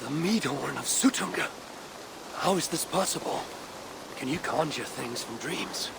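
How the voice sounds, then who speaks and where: An actor playing an earnest, curious Viking warrior leader in a video game, speaking deliberately. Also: male voice, fairly high pitch, animated, gravelly, background noise. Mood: wondering. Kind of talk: video game cutscene acting.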